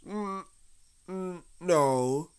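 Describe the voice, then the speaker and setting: A plump innocent storybook bear, high whiny voice, slow n hesitant. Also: male voice, expressive, a little mellow. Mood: innocent, hopeful. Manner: hesitant, drawn-out, plaintive exclamations.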